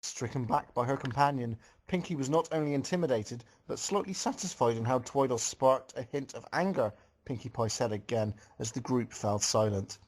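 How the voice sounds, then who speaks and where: A man's voice: medium pitch, moderate pace, a little mellow, rough recording. A man reading a fan story aloud in narration, with casual comments of his own.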